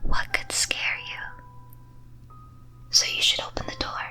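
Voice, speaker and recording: A woman whispers a scripted ASMR monologue in a breathy, teasing, theatrical and deliberate way. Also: sharp tone, soft background music. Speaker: streamer performing as a character.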